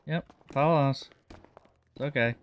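A man's voice: medium pitch, measured pace, lo-fi sound. A man playing a police officer in casual improvised online role-play, talking in an easygoing, laid-back way.

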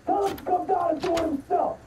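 A captive American mobster in a crime game, pitched high. He is defiant and foul-mouthed, taunting his captors. The sound is echoey, with clear noise around it. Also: fast talker. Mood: scornful. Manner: belligerent, taunting. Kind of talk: shouted game dialogue. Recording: distant, echoey, noisy game scene.

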